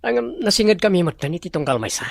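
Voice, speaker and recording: A man's voice: high-pitched, sing-song, rapid-fire, creaky, no echo, full character voice. A man with an Ilocano accent playing a scheming, money-hungry boss in a radio drama.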